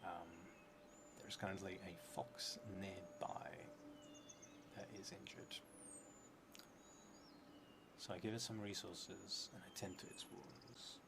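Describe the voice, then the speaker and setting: A man in his thirties with a creaky voice, thinking aloud and reading as he plays a solo tabletop game, halting and trailing into murmurs. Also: sits low, level tone, background noise. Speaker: solo tabletop roleplayer streaming.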